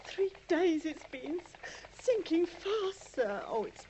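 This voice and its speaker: A woman's voice: very high-pitched, sing-song, slow, mellow, heavily acted. An actress playing a distraught, loyal elderly landlady, wailing and pleading in 1950s radio drama style. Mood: upset, pleading. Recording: muffled, noisy 1950s radio recording.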